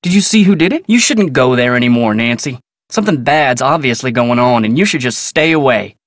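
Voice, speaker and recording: A man's voice: mid-range voice, lively intonation, fairly quick, no room sound, studio quality, natural voice. An actor playing a guarded, polite American ranch foreman in a video game, folksy, clipped and matter-of-fact. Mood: concerned, firm. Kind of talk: naturalistic video game character dialogue.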